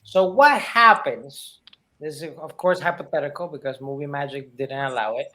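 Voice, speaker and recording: A man with a slightly high voice speaks as a film review panelist. He is casual and colloquial, posing questions to the group as he talks. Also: normal speed, sharp tone.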